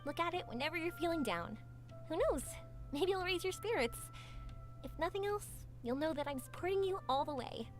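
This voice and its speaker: A woman's voice: very high-pitched, expressive, brisk. A woman voicing a cheerful, spirited young fireworks maker in a video game, upbeat and affectionate. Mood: warm. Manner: bright, upbeat, affectionate, giggly. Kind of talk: game voice acting. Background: game music.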